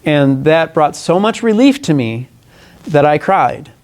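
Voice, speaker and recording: A man delivers a formal statement as a candidate at a press conference. His voice sits a little high for a man. He speaks earnestly and deliberately, sometimes stumbling and correcting himself as he reads or explains. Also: sing-song, darkish tone. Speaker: congressional candidate. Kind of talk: press conference statement, partly read aloud.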